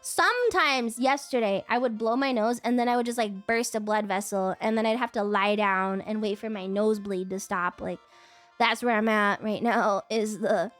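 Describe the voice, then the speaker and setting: High-voiced, congested woman streamer. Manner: rambling, with whiny playful outbursts. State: congested with a cold. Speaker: livestreamer. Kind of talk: casual chat stream.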